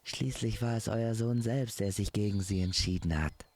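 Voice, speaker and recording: Man as a pleading, higher-voiced young queen. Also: normal speed, a little mellow. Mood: placating. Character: young queen, gently pleading with her husband.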